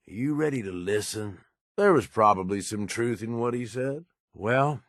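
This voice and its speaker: A man's voice: low-pitched, no echo, clean studio sound, exaggerated voice. An actor playing a cunning, blunt raider right-hand man in a video game, with an American accent and a folksy tough-guy manner. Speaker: companion character in a video game. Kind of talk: video game dialogue acting.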